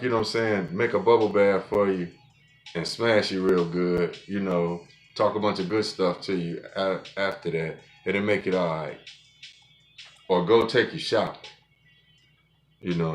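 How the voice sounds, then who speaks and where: A man's voice: deep voice, fairly even intonation, moderate pace, soft background music. A man in his forties talking alone on his podcast in a blunt, profane, rambling way, often restarting his sentences. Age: 40s. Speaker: podcast host. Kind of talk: casual monologue.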